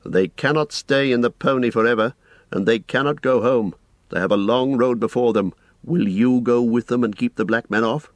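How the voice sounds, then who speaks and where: A man voicing a blunt, grave, commanding ranger in an audiobook, speaking tersely and deliberately. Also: mid-range voice, no room sound, pitched above the performer's own voice, lightly acted. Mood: stern. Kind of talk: audiobook character voice.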